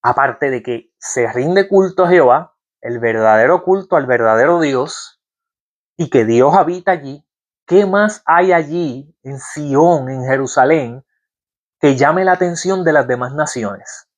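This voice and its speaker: A man's voice: a little high, animated, unhurried. Man teaching from the Bible. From Puerto Rico. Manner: asks the listener rhetorical questions, reads scripture aloud. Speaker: preacher.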